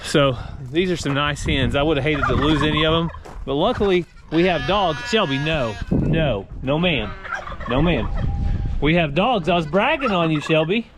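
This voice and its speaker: Higher-pitched man vlogging in noisy surroundings. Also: animated, normal speed. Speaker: family farm vlogger. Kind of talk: casual vlog chat. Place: outdoors on a farm. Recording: noisy handheld outdoor farm recording.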